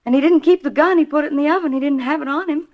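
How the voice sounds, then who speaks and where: Actress as an anxious, high-voiced wife, old radio. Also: female voice, lightly acted. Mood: anxious, insistent. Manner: hesitant, halting, earnest. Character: anxious, pleading wife of a fugitive ex-convict. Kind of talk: 1950s radio drama acting. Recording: dull vintage 1950s radio recording.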